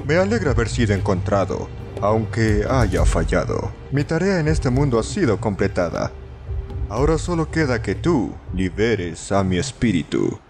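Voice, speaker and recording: A man's voice: fairly low pitch, big pitch swings, normal speed, darkish tone, faint music behind. A man voicing a solemn ancient witch-doctor spirit in a floating mask, with formal storytelling and a Latin American Spanish accent, in a dubbed short film. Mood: weary, grateful.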